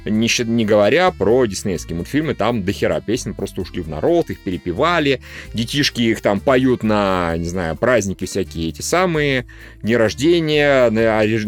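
Lively, opinionated man bantering on a podcast. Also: fairly low pitch. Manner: opinionated, swears casually, teasing. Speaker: podcast co-host. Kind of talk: casual podcast banter about animation. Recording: music behind the talk. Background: music.

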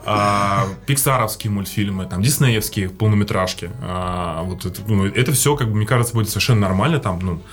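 A man's voice: fairly low pitch, fairly quick, hardly any pauses. A man co-hosting a podcast in casual chat, arguing his point bluntly and swearing now and then. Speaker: podcast co-host.

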